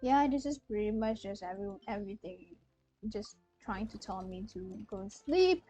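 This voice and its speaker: A woman's voice: mid-range voice, animated, moderate pace. A young woman from Malaysia chatting casually as she streams a game. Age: young adult. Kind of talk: casual live-stream chat while playing. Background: soft game music.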